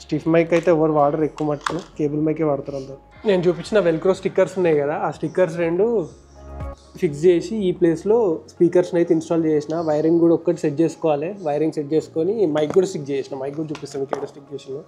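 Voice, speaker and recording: A man's voice: fairly high pitch, fairly even intonation, very fast, dark tone, soft background music. A man with a Telangana Telugu accent explains step by step in a casual, conversational hands-on tutorial as a vlog host. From India. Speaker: motovlogger and host.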